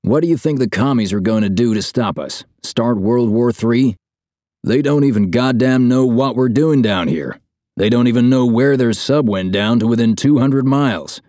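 A man's voice: low-pitched, sing-song, brisk, few pauses, dark tone, no echo, studio quality. A man voicing a resentful, profane crewman in an audiobook, sarcastic and combative. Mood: scathing. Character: scathing, resentful Boston Irish crewman, profane and dismissive.

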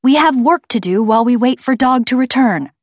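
A woman's voice: fairly high pitch, animated, fairly quick, dry recording, studio quality, pitched above the performer's own voice. A woman as an earnest, defiant girl. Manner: assertive, argumentative. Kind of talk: audiobook character voice.

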